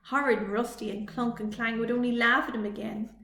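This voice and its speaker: A woman reading a children's story aloud as a library storytime reader, with expressive delivery. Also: fairly high pitch, slight background noise. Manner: slow, expressive read-aloud. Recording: echoey room.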